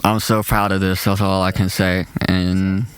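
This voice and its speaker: Young man with a nasal low voice. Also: level tone, a little slow, clean tone, no room sound. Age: young adult. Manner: loose slangy talk full of "like" and "man". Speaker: rapper and producer guest. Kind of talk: casual interview chat.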